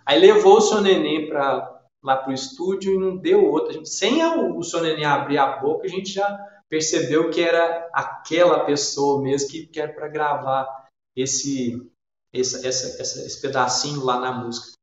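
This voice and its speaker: A man's voice: fairly high pitch, moderate pace, very echoey, muffled recording. A man with a Minas Gerais accent, shyly and meanderingly recalling his past in a folksy interview-guest manner. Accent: Minas Gerais Brazilian Portuguese. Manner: shy, meandering, folksy storytelling. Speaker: interview guest, storyteller and musician. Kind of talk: casual reminiscing interview talk.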